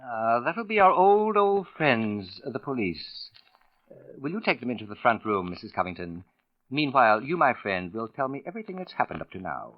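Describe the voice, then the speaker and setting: Guy acting a smug pompous old-radio sleuth, really animated, precise wording, dry muffled 1940s sound. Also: mid-range voice, normal speed, no room sound, lightly acted. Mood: urbane. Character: suave, condescending male writer turned shrewd accusing sleuth. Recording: dull vintage radio recording.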